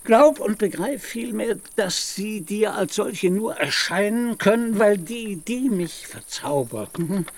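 Deluded old knight, slow grand declaiming, voice swoops all over, radio play. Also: male voice, high voice, expressive, exaggerated voice. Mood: lofty, patient. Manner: declamatory, pompous, archaic phrasing.

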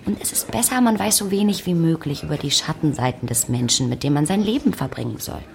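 A woman's voice: low voice, lively intonation, fast talker, gravelly. An actress in a German radio drama playing a pragmatic, unromantic young woman, a frank and knowing confidante. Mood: frank, resigned. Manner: matter-of-fact, frank, knowing. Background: music.

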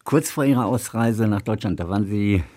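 A man hosting a radio interview, asking questions in a measured, formal way. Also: on the low side, darkish tone. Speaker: radio host.

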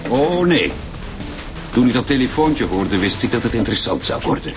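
A man's voice: medium pitch, big pitch swings, normal speed, music in the background, lightly acted. An actor playing a drunken, taunting, cynical Flemish schemer in a radio drama, mocking and gloating as he talks. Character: drunken, taunting man, cynical schemer after a woman's money.

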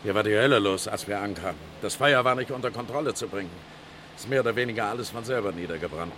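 Actor as fire captain, male, low voice, deferential and grave, vintage radio drama with clear noise. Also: fast talker, lightly acted. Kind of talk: 1960s German radio crime drama acting.

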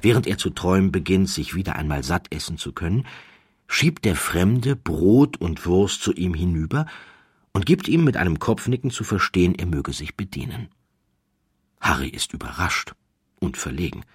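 Very deep, husky male narrator. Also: lively intonation, moderate pace, no room sound, studio quality. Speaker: audiobook narrator. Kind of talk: scripted literary narration.

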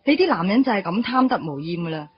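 A woman's voice: medium pitch, very animated, fast talker, muffled recording, a little hiss or hum, lightly acted. An actress playing an outspoken, playful young woman in a Cantonese radio drama, chatty and chiding, with a Hong Kong accent. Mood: indignant, chiding.

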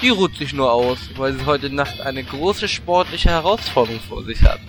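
A man's voice: medium pitch, animated, moderate pace, faint music behind, lightly acted. A man playing a cheeky, grumbling adventurer in a parody audio drama, in colloquial style. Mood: smug.

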